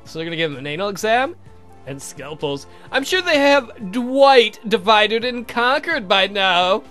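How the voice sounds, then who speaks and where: A man voicing a sardonic, theatrical vampire count for a visual novel game, mocking and campy in a drawn-out way. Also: medium pitch, sing-song, fairly bright tone, soft background music. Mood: taunting.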